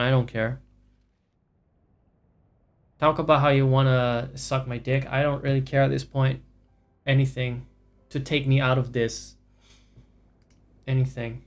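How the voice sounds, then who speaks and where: A man livestreaming a video game, giving casual commentary in a profane, sarcastic, ranting way. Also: mid-range voice. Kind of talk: casual live gameplay commentary.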